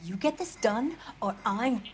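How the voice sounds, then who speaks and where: A woman playing an angry, demanding schemer in a video game, forceful and impatient. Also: on the high side, lively intonation, measured pace, a little hiss or hum.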